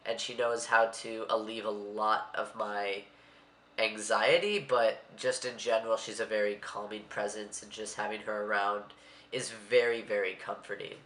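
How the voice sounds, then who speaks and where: Young man, congested, rambling and a bit anxious, bright tone in an echoey room. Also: lowish voice, fairly even intonation, moderate pace. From Maryland, USA. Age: young adult. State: stuffy, congested. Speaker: vlogger. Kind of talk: casual vlog monologue.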